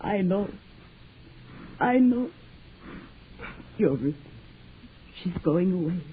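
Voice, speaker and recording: A heartsore 1940s radio mom, slow emotional pleading, tinny old broadcast with faint hiss. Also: female voice, on the low side, animated.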